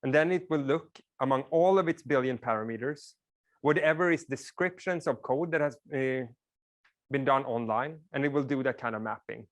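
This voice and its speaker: A man whose first language is Swedish lectures a class in a relaxed, explanatory way. His voice sits at an ordinary middle pitch, and he often drops in casual fillers. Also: moderate pace.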